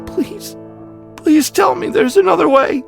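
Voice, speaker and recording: A man voicing an anguished mother who cries and pleads in a high, cracking, breathy voice for an audiobook drama. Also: a little slow, exaggerated voice. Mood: terrified anguish. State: crying. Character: anguished mother, pleading and wailing for her son. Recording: music behind the voice.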